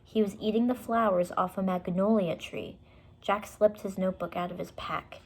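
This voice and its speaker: A woman's voice: mid-range voice, steady tone, moderate pace, a little mellow, husky. A woman storyteller narrating a children's book, with short explanatory asides for young listeners.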